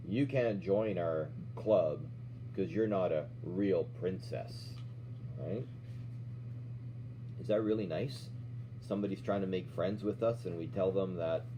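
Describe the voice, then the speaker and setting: Slow-talking man reading a storybook. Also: low-pitched. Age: middle-aged. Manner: checks in with questions, often ending "right?". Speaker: martial arts instructor reading to children. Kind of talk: storybook read-aloud with explanatory asides. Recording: dull lo-fi sound with faint hum.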